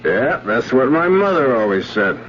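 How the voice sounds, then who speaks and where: A man's voice: mid-range voice, very animated, normal speed, fairly bright tone. An actor playing an easygoing, talkative man with a casual, bantering manner in a 1970s radio drama. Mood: amused, bantering. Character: easygoing, talkative man, amused and offhand dinner guest. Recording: muffled 1970s radio with faint noise.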